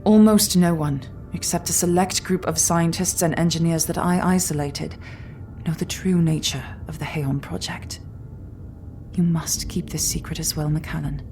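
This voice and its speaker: An actress playing a secretive, commanding woman leader of a hidden city in a sci-fi audio drama, speaking with measured gravity. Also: low-pitched, fairly even intonation, darkish tone, faint music behind. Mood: grave, secretive. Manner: measured, grave, deliberate.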